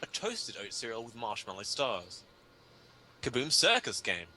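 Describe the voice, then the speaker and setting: Male reader, very bright forceful voice, wide swings in pitch, pompous mock-serious comic delivery, slight background noise. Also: wildly swooping pitch, a little slow. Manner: mock-grave, pompous, breaking into laughter. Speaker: reader of a humorous article. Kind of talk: theatrical dramatic reading of comic prose.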